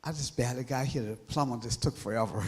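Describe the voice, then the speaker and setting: A tired man introducing himself on a panel stage in a relaxed, halting way. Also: medium pitch. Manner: relaxed, folksy, slightly halting. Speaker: social club president. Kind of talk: casual self-introduction on a panel. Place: event stage with live audience.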